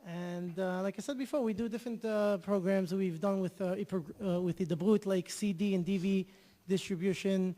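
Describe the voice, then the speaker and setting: A man's voice: high-pitched, steady tone, normal speed, mellow, long reverb tail. A man telling an enthusiastic story as a guest on an interview show, often repeating himself. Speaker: guest, charity organizer.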